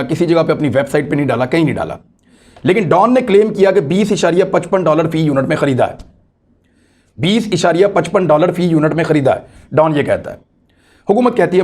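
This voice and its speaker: Pakistani guy doing news commentary, pretty high voice, explains stuff emphatically and repeats himself. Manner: emphatic, repeats key phrases, rhetorical questions. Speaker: political commentator hosting own online video.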